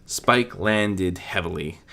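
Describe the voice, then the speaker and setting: A man with a bright, somewhat low voice narrates a fantasy adventure. His delivery is scripted and dramatic, that of an amateur reader who now and then trips on a word. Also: slow pace, gravelly. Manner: amateur reading with occasional stumbles and self-corrections. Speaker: story narrator.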